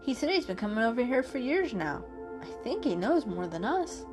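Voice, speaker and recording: A woman voicing a calm, sensible male cat, matter-of-fact and gently teasing, in a storybook reading. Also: a little high, moderate pace, music in the background, voice pushed up.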